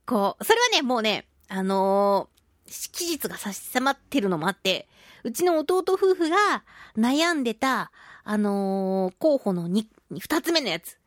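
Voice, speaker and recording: Woman chatting in a high, animated voice. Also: unhurried, no echo, clean studio sound. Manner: giggly, chatty, playfully self-narrating in mock-polite phrases. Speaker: radio show host and voice actress. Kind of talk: casual chatty solo radio talk.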